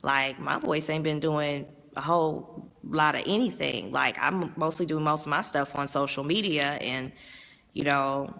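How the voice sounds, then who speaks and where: A woman's voice: low voice, steady tone, a little slow, vocal fry, a bit bright, big-room sound, a little hiss or hum. A woman chatting on a live vlog, rambling about her work and reading viewer comments, her voice worn from talking all day. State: strained voice from overuse. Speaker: vlogger and music promoter. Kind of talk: casual live-stream chat.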